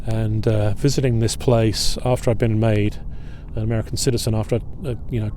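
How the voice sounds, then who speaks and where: A middle-aged man leading an outdoor history tour at a waterfront fort. He talks in a relaxed, halting way with many filler pauses. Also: on the low side, slight background noise. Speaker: historian and battlefield guide.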